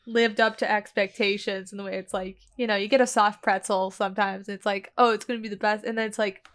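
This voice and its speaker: Female tabletop player, medium pitch, loose conversational delivery with a wry edge. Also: little pitch movement, fast talker. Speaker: player in an actual-play podcast. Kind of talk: casual chat.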